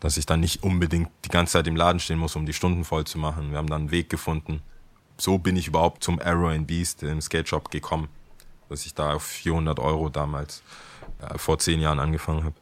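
Deep-voiced relaxed young man chatting. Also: fast. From Ghana. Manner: relaxed, chatty, laughs while telling stories. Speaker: guest, skate shop worker. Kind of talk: casual interview storytelling.

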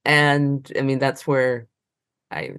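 A woman's voice: a bass voice, level tone, slow pace, fairly bright tone, clean tone, dry recording, studio quality. An American woman talking casually as an interview guest, rambling and often trailing off mid-thought. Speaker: interview guest, librarian and trainer.

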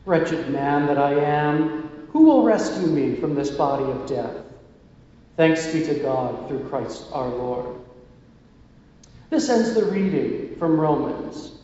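A man reading scripture aloud in a church service, in a measured liturgical way. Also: fairly high pitch, lively intonation, dark tone. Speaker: music director reading scripture. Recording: echoey church hall, muffled.

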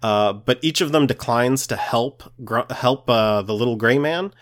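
A man co-hosting a podcast, explaining lore in a halting way as he searches for words. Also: mid-range voice. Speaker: podcast co-host.

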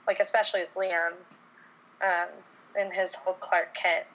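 A woman's voice: on the low side, flat delivery, moderate pace, fairly bright tone, low-quality recording. A woman from Texas chatting casually on a podcast, easygoing and rambling. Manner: easygoing, rambling, lots of ums. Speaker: podcast co-host.

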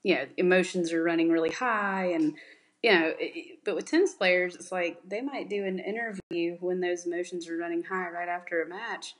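A woman's voice: lowish voice, steady tone, fairly quick. A woman hosting an interview, asking long, rambling questions and giving encouraging replies. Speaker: podcast host. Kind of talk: casual interview chat.

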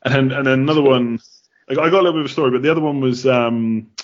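A man's voice: medium pitch, very fast, dull audio. A man from the United Kingdom answering casually as a podcast guest, chatty with many ums.

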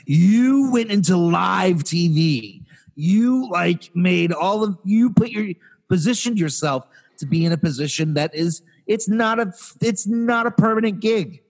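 A young man with a fairly high voice rants through a casual podcast chat. He is exasperated and animated, piling up restarts and repeated words as he builds his point. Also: unhurried. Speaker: podcast co-host.

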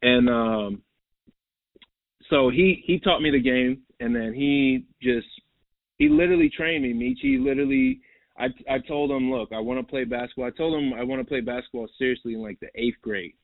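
A man's voice: mid-range voice, expressive, normal speed, vocal fry, no echo. Young man from new york, rambling, repeats himself, phone-like sound, dry. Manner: rambling, repeats words, says "bro" and "like". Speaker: basketball player, guest. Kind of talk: casual interview. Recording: tinny phone-like line, dry.

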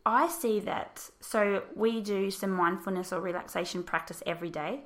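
A woman teacher, speaking thoughtfully and patiently in a casual discussion, her voice a little croaky. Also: mid-range voice, normal speed.

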